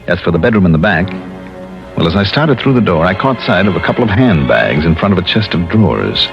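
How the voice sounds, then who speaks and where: A man's voice: low voice, big pitch swings, fairly quick. An American man playing a tough, wry insurance investigator in 1950s radio detective style, brusque and wisecracking. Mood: wry narration. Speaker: lead actor and first-person narrator. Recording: muffled old radio recording, music behind.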